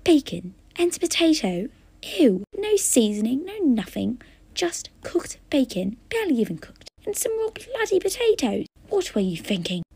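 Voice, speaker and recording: A woman's voice: high-pitched, sing-song, normal speed, creaky, warm tone, no room sound, pitched above the performer's own voice, exaggerated voice. A woman voicing a haughty, scathing female food critic who talks dramatically and breathily, calling people darling.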